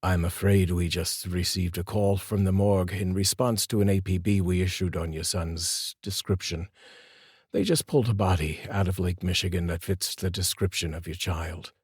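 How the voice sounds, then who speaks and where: A male storyteller voicing a calm, formal police detective who speaks in a measured, soft tone. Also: low-pitched, husky, dry recording, clean studio sound. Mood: somber.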